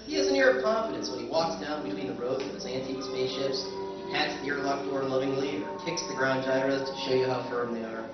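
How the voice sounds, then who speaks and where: A man's voice: medium pitch, expressive, quick pace, distant mic, over loud music, close to an everyday voice. A man playing a wry, rueful spaceship buyer who narrates his tale expressively in an old-time radio drama. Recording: echoey, dull stage recording from afar.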